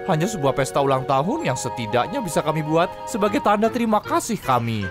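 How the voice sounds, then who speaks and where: A very animated cartoon voice from an actor as a friendly little male engine, earnest and sincere, with clear music playing behind. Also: medium pitch, quick pace, close to an everyday voice. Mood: grateful, glad. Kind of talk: dubbed children's cartoon acting.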